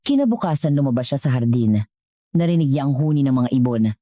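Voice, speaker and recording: Very low-voiced Filipino woman narrating. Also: lively intonation, fast, dark tone, dry recording, studio quality. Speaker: storyteller narrator. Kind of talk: scripted dramatic story narration.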